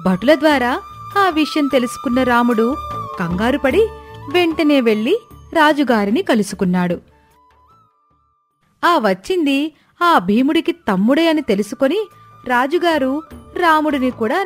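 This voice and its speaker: A woman narrating a scripted moral story in an expressive storytelling style. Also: high-pitched, measured pace, long pauses. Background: background music.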